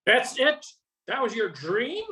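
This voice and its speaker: Man playing a stern small-town police inspector, bright and forceful. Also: very high-pitched, sing-song, slow pace, full character voice. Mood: stern, impatient. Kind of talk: amateur noir comedy radio play.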